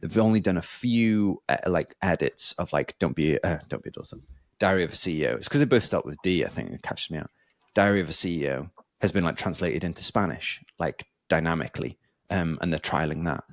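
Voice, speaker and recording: A man's voice: sits low, lively intonation, a little fast, no echo, clean studio sound. A man hosting a podcast in casual chat, rambling with many fillers and restarts. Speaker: podcast host.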